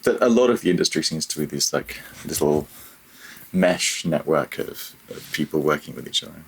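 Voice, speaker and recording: A man speaking as a guest in a casual interview, thinking aloud in a halting, self-deprecating way. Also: very deep voice, expressive, slightly rough, low-quality recording. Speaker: interview guest, freelance audio developer.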